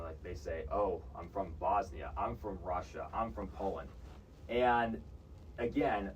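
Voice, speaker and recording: A man's voice: lowish voice, recorded from a distance, a little hiss or hum, poor audio. A young man hosting a college radio podcast, talking in a rambling way with many restarts. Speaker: podcast host. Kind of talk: casual college radio chat. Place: college campus radio studio.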